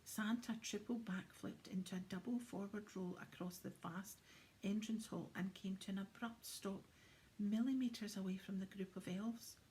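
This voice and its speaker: A woman narrating a children's storybook in an expressive, often hushed and dramatic way. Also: mid-range voice, moderate pace, slight background noise, rough recording. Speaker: storybook reader.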